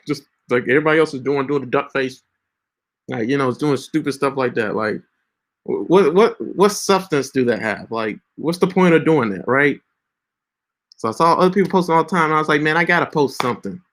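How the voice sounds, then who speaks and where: A man's voice: medium pitch, lively intonation, fairly quick, a bit bright. A man in his late twenties giving casual, preachy social media advice with lots of fillers and restarts. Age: 20s. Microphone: screen-share recording setup.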